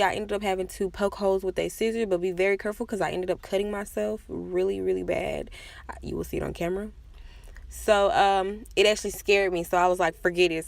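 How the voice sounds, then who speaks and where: A woman's voice: mid-range voice, gravelly, no echo. A woman giving a casual, chatty makeup tutorial voice-over with self-deprecating asides. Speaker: makeup vlogger.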